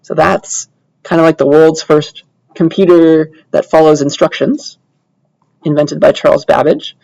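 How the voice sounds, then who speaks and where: A man's voice: a little high, steady tone, unhurried, vocal fry, darkish tone, no echo, rough recording. A man lecturing informally to a computer science class in a conversational way, often checking in with short tag questions. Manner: casual, conversational, checks in with tags like "all right" and "yeah".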